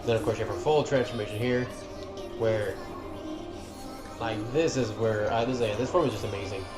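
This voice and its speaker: A man's voice: lowish voice, steady tone, normal speed. A man giving casual, informal commentary on game cutscenes as an enthusiastic fan presenting his favorites. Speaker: video creator presenting a favorites list. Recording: roomy echo with music behind. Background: game cutscene music.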